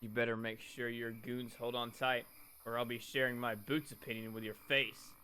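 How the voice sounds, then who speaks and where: A man's voice: medium pitch, little pitch movement, normal speed, bright, forceful tone, smooth, close to an everyday voice. A man voicing a sassy, defiant young woman in a comedic visual novel, sarcastic and dramatic. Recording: prominent music behind the voice. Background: music.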